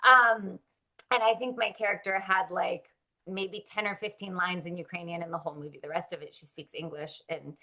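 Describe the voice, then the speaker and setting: A woman's voice: fairly low pitch, steady tone, moderate pace, sharp tone. A woman born in Russia, an actress chatting casually in an interview, upbeat and full of likes and ums.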